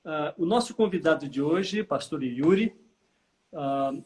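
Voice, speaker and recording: A man hosting a live interview, chatty and prompting, often tagging his questions with a quick "tá?". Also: a little high, lively intonation, darkish tone. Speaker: interview host, former teacher.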